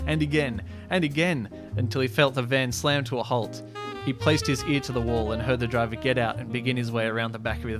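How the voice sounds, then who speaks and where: A male podcast host with a medium-pitched voice, self-amused and mock-dramatic as he moves between storytelling and casual chat. A plucked guitar music bed plays clearly behind him. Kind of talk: reading his own sci-fi story, casual chat.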